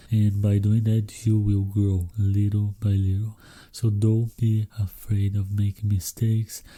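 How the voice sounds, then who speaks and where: A man's voice: on the low side, warm tone. A young man from Brazil with a Brazilian Portuguese accent, hosting a solo podcast and speaking encouragingly straight to English learners. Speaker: podcast host.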